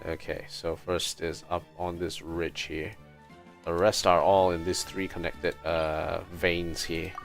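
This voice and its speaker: Male game streamer, low register, leisurely delivery, casual think-aloud commentary. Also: creaky. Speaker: livestreamer. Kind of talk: casual gaming livestream commentary.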